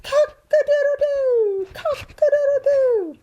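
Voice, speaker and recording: A woman's voice: very high-pitched, slow pace, dark tone, dull audio. A woman voicing a naive, earnest, confused male turkey in a children's storybook. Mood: excited crowing. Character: confused, eager male turkey imitating other animals' calls.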